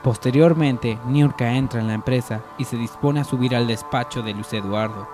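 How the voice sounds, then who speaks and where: A man's voice: mid-range voice, moderate pace, over music. A man reading scripted narration for a radio soap opera.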